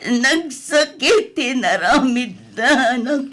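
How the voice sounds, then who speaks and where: Heartbroken Filipino mother woman actor, audio drama. High, slow, tearful delivery. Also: expressive, fairly bright tone, full character voice. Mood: anguished, weeping. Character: heartbroken betrayed wife and mother, weeping. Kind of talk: Ilocano audio drama acting.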